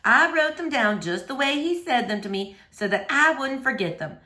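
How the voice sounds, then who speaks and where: A woman reading a children's novel aloud as an expressive storyteller. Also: high-pitched, normal speed, roomy sound.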